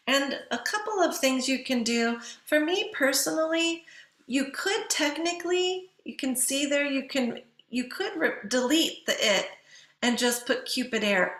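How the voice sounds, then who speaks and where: A woman's voice: sits high, fairly bright tone, echoey room. A woman giving an instructional writing lecture in a didactic way, posing questions to listeners and answering them herself.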